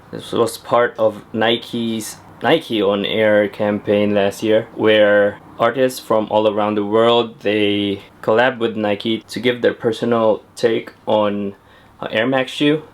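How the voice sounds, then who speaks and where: Young man, sneaker vlog, low voice, monotone delivery, relaxed chatty fillers. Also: a little hiss or hum. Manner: laid-back, chatty, with frequent "uh" fillers. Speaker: sneaker vlogger. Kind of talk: casual talk to camera. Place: at home indoors.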